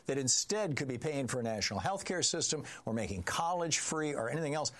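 An older man hosting a political talk radio show, giving an emphatic, opinionated monologue and taking calls. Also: on the high side.

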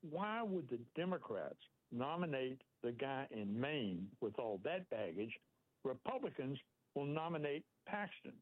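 Caller: older man, lively up-and-down voice, breathy, tinny dry phone line. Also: mid-range voice, a little slow, no echo. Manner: hesitant, stammering starts.

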